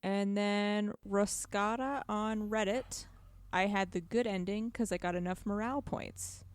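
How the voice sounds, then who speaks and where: A woman co-hosting a podcast, talking about video games in a blunt, informal, animated way. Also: mid-range voice, measured pace, smooth, dry recording, clean studio sound. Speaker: podcast co-host. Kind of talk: casual video game discussion.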